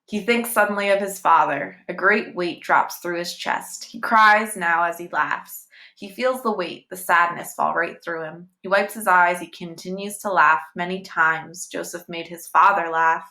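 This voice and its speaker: Bright-voiced woman reading a novel aloud. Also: lowish voice, a little slow, hardly any pauses. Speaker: reader, teacher. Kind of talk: read-aloud novel narration.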